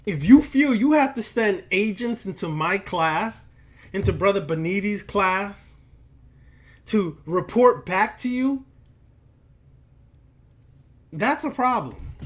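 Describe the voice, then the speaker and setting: A man with a notably high-pitched voice delivers an impromptu, sermon-like lecture. He speaks slowly and addresses the listener directly, admonishing and preachy. He leans on emphatic repetition of words and phrases. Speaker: occult lecturer and teacher.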